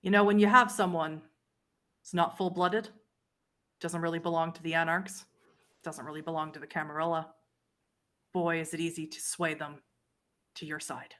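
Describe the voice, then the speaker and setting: A woman plays an old female vampire informant in a tabletop roleplay. Her voice sits a little low and sounds weary and guarded. She speaks in short, measured statements with dramatic pauses. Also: steady tone, pushed and bright. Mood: grim, wry. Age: older.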